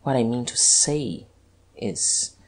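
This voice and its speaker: A reader voicing a husky, halting male detective. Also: medium pitch, very animated. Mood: shaken. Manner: halting, hesitant, muttering. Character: suspicious, shaken, stubborn detective, male.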